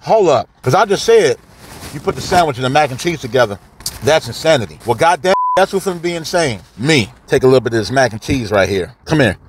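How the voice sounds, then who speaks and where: A man's voice: medium pitch, lively intonation, fast. A man, giving a casual, slangy and profane food review while eating in a parked car. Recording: clear background noise in a car.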